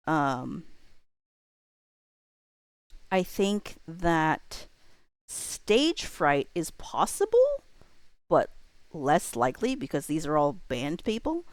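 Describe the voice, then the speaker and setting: A young woman chatting casually on a livestream, thinking out loud and rambling as she weighs her options. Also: low-pitched, no echo.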